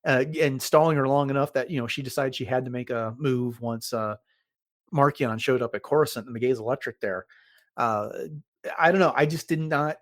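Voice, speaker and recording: A man's voice: mid-range voice, fairly quick. A man hosting a podcast, casually recapping a story and thinking aloud as he talks. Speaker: podcast host.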